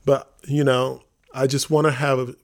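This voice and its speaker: A man talking casually on a podcast as one of the hosts, informal and animated, often acting out quotes. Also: mid-range voice, moderate pace. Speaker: theater company member and podcast host.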